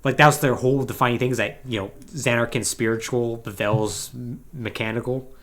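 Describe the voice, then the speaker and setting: A man's voice: medium pitch, a little fast. A man hosting a podcast, giving a casual, conversational recap of a story. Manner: animated, conversational, acting out paraphrased dialogue. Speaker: podcast host.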